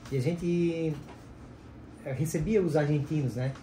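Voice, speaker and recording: A man's voice: on the high side, a little slow, dark tone. A man chatting casually on a podcast, with stammering restarts and easy laughter. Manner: laughing, slightly stammering chat. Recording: roomy echo with faint hiss.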